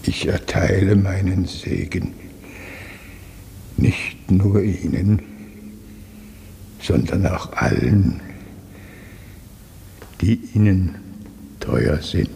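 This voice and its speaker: Solemn clergyman, German radio play. Low, mellow, extremely slow ceremonial delivery over faint ambience. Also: creaky, a little hiss or hum, exaggerated voice. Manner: ceremonial, drawn-out. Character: solemn high clergyman giving a blessing.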